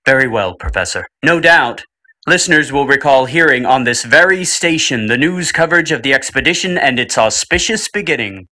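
A man's voice: mid-range voice, animated, normal speed, bright, forceful tone. A man playing an old-time radio host who introduces the program and its guest in a theatrical announcer style. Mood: formal.

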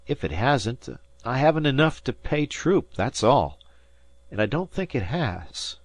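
Male reader as wealthy, indulgent father in an audiobook: measured, decisive, shrewd, widely varied intonation. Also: mid-range voice, big pitch swings, no echo, voice pushed up. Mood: reflective.

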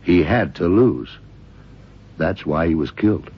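Detective in an old radio drama, super deep gravelly voice, muffled old recording, thinks out loud slowly. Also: male voice, expressive, heavily acted. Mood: working it out. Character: shrewd, methodical middle-aged American male detective.

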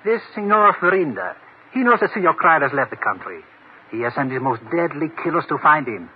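Fast, grave foreign informant. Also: male voice, on the high side, sing-song. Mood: ominous, urgent. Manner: formal, ominous phrasing. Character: grave, urgent male foreign informant warning of killers. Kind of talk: 1950s radio drama acting. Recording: muffled old radio broadcast, faint noise.

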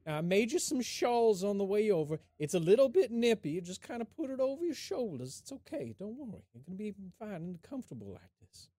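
Brief: a woman as a sweet, fussy old granny, lively sing-song pitch, dry close sound. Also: a little fast, hardly any pauses, dry recording. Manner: cooing and coddling.

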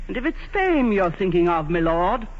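A woman's voice: mid-range voice, very animated, moderate pace. An aging English queen with commanding, theatrical dignity and a vulnerable heart. She speaks in the grand manner of 1940s radio theatre. The broadcast is vintage and dull in tone. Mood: wounded pride. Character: proud, aging English queen, imperious yet vulnerable in love.

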